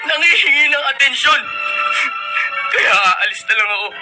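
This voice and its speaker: A very high-voiced young college student, the wounded younger son of a radio drama. He speaks with plaintive emphasis and simmering resentment. Loud music plays behind him. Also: lively intonation, normal speed, rough recording. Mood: anguished, pleading. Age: young adult. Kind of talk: emotional Filipino radio drama acting.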